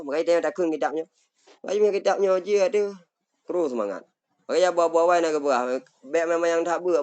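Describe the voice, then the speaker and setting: A man's voice: fairly high pitch, darkish tone. Acehnese man teaching from an Arabic text. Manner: reads Arabic phrases slowly, then glosses them in Malay-Indonesian. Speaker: religious teacher. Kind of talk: kitab study lesson, reading Arabic text and explaining.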